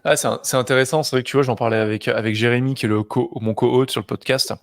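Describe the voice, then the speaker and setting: A man's voice: mid-range voice, steady tone, fast talker, vocal fry, no echo, clean studio sound. A man co-hosting a podcast in an informal, familiar way, often thinking aloud as he talks. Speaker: podcast co-host and interviewer. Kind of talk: casual conversational interview.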